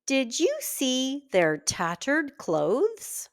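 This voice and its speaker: A woman's voice: high-pitched, wildly swooping pitch, drawn-out words, voice pushed up, full character voice. Woman reading as a kind storybook wife, lilting. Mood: caring, eager. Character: kind, grateful shoemaker's wife in a fairy tale.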